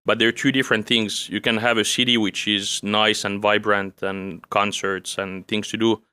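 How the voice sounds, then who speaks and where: A young man from Finland who grew up in France, speaking as an interview guest in a calm, measured way with frequent pauses and fillers. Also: lowish voice, clear, resonant tone, no room sound. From Finland, raised in France. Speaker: guest, OSINT analyst. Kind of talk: casual interview conversation.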